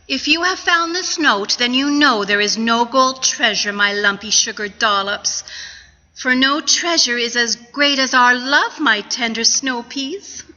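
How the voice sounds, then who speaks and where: A sentimental, long-suffering wife in a radio comedy played by a woman. Her voice is high and bright, carried in a slightly dull, reverberant hall recording. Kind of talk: radio comedy stage acting. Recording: muffled, reverberant event hall.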